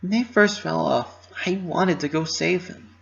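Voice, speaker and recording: A man voicing a distraught, high-strung young female pony in a dramatic story reading, with strained emotional delivery. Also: a little slow, gravelly, a bit bright, muffled recording. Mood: anxious, remorseful.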